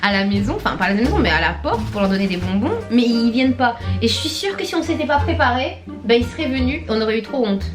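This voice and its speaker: A young woman from Réunion, speaking, chats in a laughing, lively way with quick asides while she cooks in her home kitchen for a vlog. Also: fairly high pitch, over music. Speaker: vlogger. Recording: echoey room.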